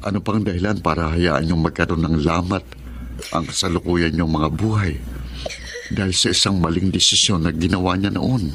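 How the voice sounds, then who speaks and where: Radio drama: man as calm, deep-voiced comforting friend, gentle and reflective. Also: moderate pace, slight background noise. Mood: reassuring.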